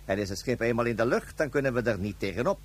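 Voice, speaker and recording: A sceptical second-in-command in a sci-fi radio drama. His husky voice sits in the middle range and rises and falls with formal, insistent objections. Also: medium pitch, expressive, fast. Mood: worried, insistent. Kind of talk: Dutch science fiction radio drama acting.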